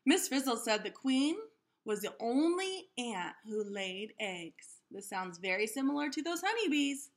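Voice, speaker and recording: A woman reading a children's story aloud like a teacher, animatedly, pausing to question and explain things to young listeners. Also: a little high, big pitch swings.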